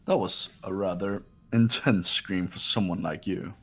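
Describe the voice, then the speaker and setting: A man's voice: low-pitched, steady tone, measured pace, fairly bright tone, husky, heavily acted. A man playing an aloof, coolly logical, haughty young man in a mystery video game, speaking formally. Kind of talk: dramatic game voice acting.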